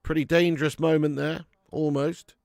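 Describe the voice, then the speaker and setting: A man hosting a live fan watch-along of a football match at home, chatting to viewers with sweary banter and bursting into loud cheering at chances. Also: fairly high pitch, little pitch movement, normal speed, vocal fry, dry recording, studio quality. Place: at home in front of a camera.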